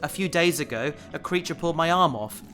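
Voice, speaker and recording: A man's voice: on the high side, lively intonation, fairly quick, close to an everyday voice. A man playing an earnest, dramatic young human who is turning part alien, hesitant and polite, in an audio drama. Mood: awkward, sheepish. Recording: faint music behind the voice.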